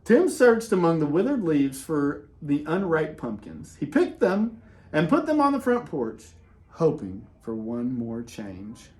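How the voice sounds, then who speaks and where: A man reading a children's picture book aloud in an expressive way, with dramatic pauses and casual, conversational delivery. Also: on the high side. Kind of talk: animated read-aloud with casual asides.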